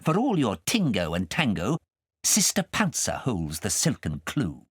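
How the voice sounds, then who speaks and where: A vain, scheming witch from a storybook, acted by a male reader. She is arch and pompous, sliding between raised and low notes in very wide swoops. The sound is dry. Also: wildly swooping pitch, a little slow, breathy edge, dry recording, full character voice. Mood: scornful, sly. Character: scheming, vain, haughty witch, adult woman.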